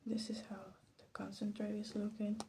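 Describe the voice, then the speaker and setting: Hushed, creaky-voiced woman doing soft ASMR commentary. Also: medium pitch, monotone, normal speed. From Latin America. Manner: hushed, often slipping into a whisper; hesitant, musing, self-deprecating. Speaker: ASMR video host. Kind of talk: soft-spoken ASMR commentary while demonstrating. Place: bedroom. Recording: faint background noise, clicking sounds.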